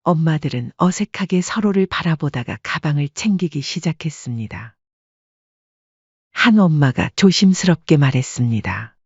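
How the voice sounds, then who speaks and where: A woman's voice: deep voice, lively intonation, normal speed, a little mellow, slightly rough, no echo, dull audio. A woman working as narrator, reading a scripted dramatic story.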